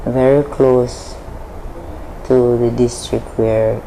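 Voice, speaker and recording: A Jamaican woman speaks at a very slow, measured pace, her voice gravelly with fry. She tells a long history as an interview guest, deliberate and restarting her sentences. A steady hiss sits under the recording. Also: extremely low pitch, poor audio. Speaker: interview guest, Maroon leader. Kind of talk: unhurried storytelling in an interview.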